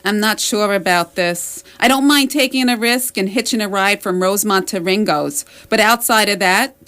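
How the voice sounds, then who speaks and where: A woman's voice: medium pitch, moderate pace, fairly bright tone, clean tone, lightly acted. A woman playing a chatty, fretful middle-aged wife, rambling plaintively in a comedic radio sketch. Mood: worried, doubtful.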